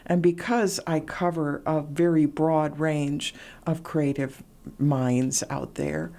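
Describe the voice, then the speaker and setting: A middle-aged woman speaks in a low, mellow voice. She shares stories in a reflective, conversational way, pausing on fillers now and then. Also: steady tone, vocal fry. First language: Latvian. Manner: warm storytelling, reflective, frequent fillers. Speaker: radio show host, interview guest. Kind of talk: casual interview chat.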